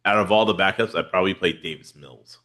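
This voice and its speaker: A man's voice: sits low, quick pace, pushed and bright. A man with a head cold, hosting a casual football podcast in a laid-back, rambling way with lots of fillers. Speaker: podcast host. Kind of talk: casual fantasy football chat.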